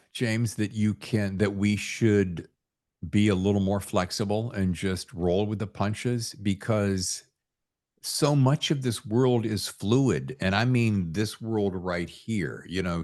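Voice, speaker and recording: A man's voice: on the low side, slow, darkish tone. A man hosting a casual chat, emphatic and given to acting out imagined reactions. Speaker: podcast host.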